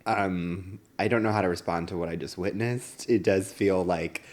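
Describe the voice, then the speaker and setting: A man with a fairly low-pitched voice co-hosts a casual comedy podcast. He talks in a halting, rambling way, with plenty of filler words as he works toward his point. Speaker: podcast co-host.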